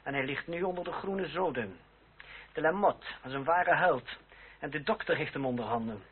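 An actor playing a young lawyer and duellist who is composed, ironic and defiant, in a period radio drama. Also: male voice, a little high, expressive, moderate pace, close to an everyday voice. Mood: cool irony. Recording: tinny, band-limited old radio recording with hiss.

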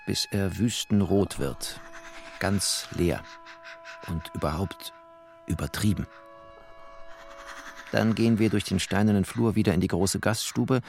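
A low, creaky, wry bookish narrator. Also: male voice, darkish tone. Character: bookish, wry first-person narrator, a former interpreter. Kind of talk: literary radio play narration.

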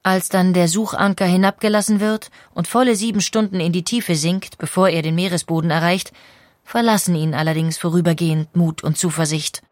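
A woman's voice: fairly low pitch, fairly even intonation, fairly quick, no echo, clean studio sound. A woman reading scripted documentary narration as the narrator. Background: music.

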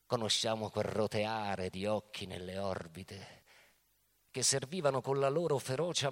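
A man giving a deliberate, dramatic scripted narration in a radio theatre piece. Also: medium pitch, a bit bright. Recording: music playing behind the voice. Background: music.

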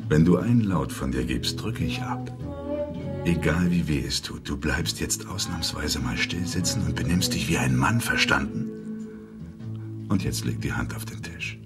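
A man's voice: very deep voice, huge pitch swings, moderate pace, exaggerated voice. An actor playing a sarcastic, threatening male crime enforcer in a radio drama, sneering with controlled menace. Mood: menacing, taunting. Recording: prominent music under the voice.

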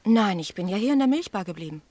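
A woman's voice: medium pitch, expressive, fast, warm tone, dry recording, lightly acted. Observant milk bar assistant, dry sound, acted by a woman. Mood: eager, precise. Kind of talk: German radio crime drama acting.

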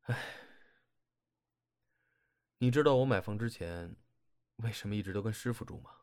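Man acting a low-voiced, teasing young man. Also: moderate pace, a little mellow, lightly acted. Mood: reflective. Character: teasing, protective young male stage partner, steady and affectionate. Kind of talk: audio drama acting. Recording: guitar music behind the voice. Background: guitar music.

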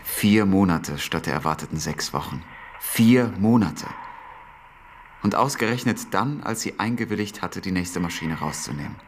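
An actor playing a brooding, sardonic intelligence officer in a radio drama. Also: male voice, sits low, animated, normal speed, slight background noise. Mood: bitter.

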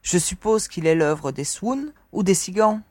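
A woman's voice: lowish voice, lively intonation, measured pace, dark tone, no room sound, clean studio sound. A woman playing a curious, self-possessed young woman in a science-fiction audio drama, speaking with poise. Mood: curious, composed.

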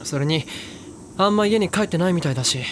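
An actor playing a brash, casual high school boy with slangy, pushy speech in an audio drama. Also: lively intonation, quick pace, noisy background. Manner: casual junior slang, breezy. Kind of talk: Japanese audio drama acting.

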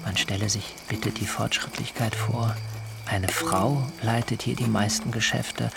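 Earnest young idealist, creaky voice. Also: male voice, fairly even intonation, a little slow, loud music behind the voice. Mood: earnest enthusiasm. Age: young adult. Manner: elaborate, formal, high-flown phrasing. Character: earnest, shy young idealist vegetarian sun worshipper. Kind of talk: German radio drama acting.

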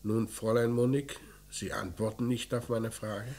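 An actor playing a dry, probing police commissioner in a German radio crime play, questioning in a terse deadpan way. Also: male voice, medium pitch, normal speed, close to an everyday voice. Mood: probing, restrained. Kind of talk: mid-century German radio play acting. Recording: noisy vintage radio drama recording.

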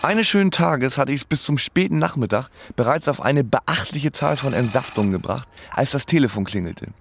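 A man's voice: mid-range voice, animated, moderate pace, creaky, a little hiss or hum. An actor playing an awkward, frustrated man who tells of his failed romances in a radio play. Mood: wry, bitter. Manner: sardonic in narration, stammering when flustered. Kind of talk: first-person radio play narration and dialogue.

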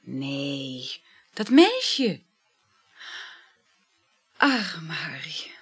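A flirtatious married lover in an intimate radio drama. Her husky, breathy voice lingers languidly over words and swoops in pitch, dreamy and halting. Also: huge pitch swings, heavily acted. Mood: wistful. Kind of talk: intimate radio play acting.

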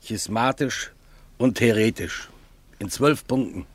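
An actor playing a cynical cleric with a husky voice, speaking in a sneering way. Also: male voice, medium pitch, takes it slowly, no echo. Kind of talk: radio play acting.

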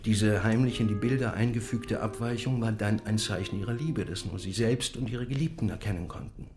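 An actor playing a proud, enigmatic Ottoman miniature painter who tells parables in a measured, deliberate way in a radio drama. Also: fairly low pitch, natural voice. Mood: earnest, wistful. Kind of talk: literary radio drama storytelling. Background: music.

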